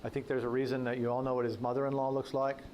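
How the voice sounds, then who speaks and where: A man's voice: mid-range voice, level tone, very fast, a little mellow. Guy talking politics to a breakfast crowd, folksy, jokey asides, faint noise behind. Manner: folksy, anecdotal, joking asides. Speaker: guest speaker, political journalist. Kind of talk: conversational talk with audience Q&A. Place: breakfast event room.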